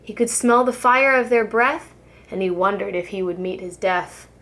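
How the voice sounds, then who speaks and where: A woman reading a rhyming children's story aloud like a preschool teacher, with expressive, deliberate phrasing. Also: mid-range voice.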